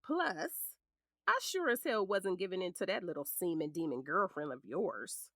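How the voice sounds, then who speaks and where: An actress with an American accent playing a sharp-tongued, protective middle-aged lawyer and scornful ex-wife in an audio drama, blunt and commanding. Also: female voice, lowish voice, animated, normal speed, no echo, studio quality. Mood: wry scorn.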